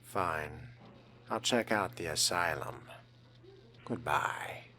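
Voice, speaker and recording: A man with a very low voice narrates a game in character. His delivery is very slow and deliberate, formal in wording and often hushed, as if musing to himself. Music plays clearly behind him. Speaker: game player role-playing a vampire character.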